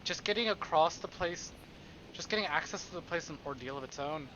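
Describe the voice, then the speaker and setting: A man's voice: a little high, brisk, highs cut off, background noise. A man streaming a visual novel, reading it aloud theatrically with playful, casual asides.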